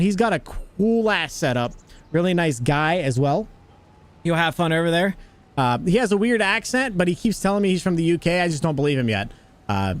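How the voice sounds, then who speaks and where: A young American man hosting a casual gaming livestream, greeting viewers and joking as he plays. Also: high voice. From United States. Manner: chatty, greets viewers, jokey. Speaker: livestream host.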